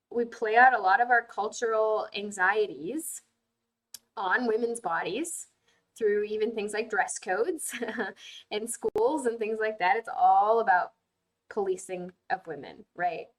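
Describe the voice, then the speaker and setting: A woman's voice: mid-range voice, rough recording. A woman host giving earnest, emphatic casual commentary while playing a video game, muttering asides to herself as she plays.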